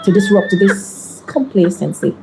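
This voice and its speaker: A woman in her thirties with a Jamaican accent, a politician answering interview questions in a measured, deliberate way. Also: medium pitch, sing-song, creaky, over a noisy crowd, rough recording. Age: 30s.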